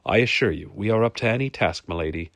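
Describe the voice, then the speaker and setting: A man voicing a charming, roguish mercenary leader in a fantasy audiobook, smooth and confident. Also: medium pitch, lively intonation, moderate pace, no room sound, clean studio sound, pitched above the performer's own voice. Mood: proud.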